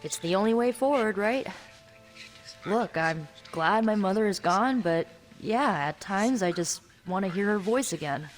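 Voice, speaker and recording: A woman's voice: medium pitch, animated, measured pace, lightly acted. A woman playing a young sardonic sorceress in a video game, with an American accent and a casual, teasing, talkative manner. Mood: confiding. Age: young adult. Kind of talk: video game voice acting, conversational. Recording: background music under the dialogue.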